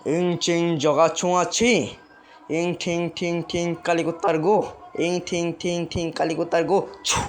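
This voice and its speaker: A performer plays a bossy village exorcist man from a cartoon. He speaks in commanding, scolding tones at a middling pitch, like someone used to ordering others about. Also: little pitch movement, measured pace, soft background music, lightly acted. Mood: chanting. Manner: commanding, insulting, chanting. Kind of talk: amateur cartoon voice acting.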